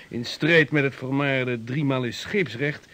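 A dignified financier of voyages in a period radio drama. His voice is mid-pitched. He talks shrewdly, in measured formal phrases. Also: a bit bright. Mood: wry. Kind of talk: Dutch radio drama acting.

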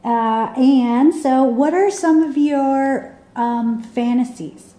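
A woman's voice: high voice, slow pace, warm tone. A woman interviewer asking questions in a casual, conversational way. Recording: echoey room, off camera.

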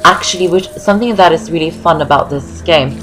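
Very low-voiced young woman gaming. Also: a little fast, background noise. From Norway. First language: Norwegian. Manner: chatty, rambling, repeats phrases. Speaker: gaming video host. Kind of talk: casual game walkthrough commentary. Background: game music.

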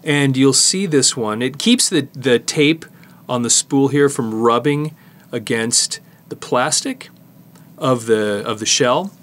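A middle-aged man giving a casual hands-on tutorial, explaining in a halting way as he works with his hands. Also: medium pitch, fairly bright tone. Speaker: presenter demonstrating cassette disassembly.

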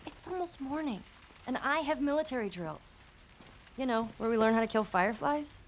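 A woman's voice: high voice, lively intonation, moderate pace, slight background noise. A wary, sarcastic American teenage girl. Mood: annoyed. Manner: sarcastic, blunt, conversational. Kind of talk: naturalistic video game cutscene acting.

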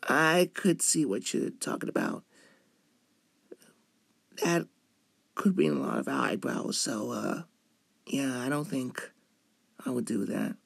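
A man plays a sweet, bashful young femboy in an intimate ASMR roleplay. The delivery is slow and hesitant, with coy pitch swings. The recording is close and dry. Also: huge pitch swings, gravelly, husky, no room sound, full character voice. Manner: hesitant, coy, with drawn-out words. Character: cute, shy, playful young femboy trying on outfits.

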